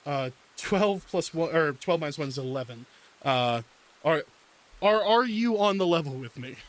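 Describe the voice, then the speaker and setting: Animated, higher-voiced man narrating a game. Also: normal speed. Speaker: tabletop role-playing player. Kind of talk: casual improvised game narration. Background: faint music.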